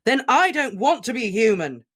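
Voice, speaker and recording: A reader voicing a grieving teenage boy wizard, shouting and shaking with rage. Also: mid-range voice, lively intonation, moderate pace, voice pushed up, close to an everyday voice. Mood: raging. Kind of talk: audiobook character reading.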